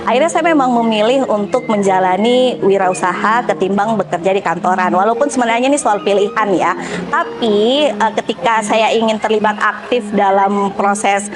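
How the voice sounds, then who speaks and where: A young woman who owns a restaurant talks in an interview about running her business, speaking in a measured way with frequent pauses and hesitation fillers. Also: mid-range voice. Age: young adult. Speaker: restaurant owner. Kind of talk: interview testimonial. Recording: music bed behind voice.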